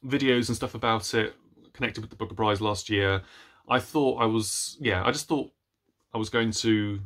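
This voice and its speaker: Man, thirties, book vlogger. Low, bright, forceful voice; playful, rambling, casual delivery to camera. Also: moderate pace. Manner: animated, playful, rambling with self-deprecating asides. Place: small room at home with bookshelves.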